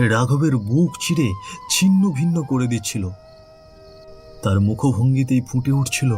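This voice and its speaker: A man's voice: mid-range voice, darkish tone. A man narrating a scripted horror story as storyteller, in a solemn, theatrical manner.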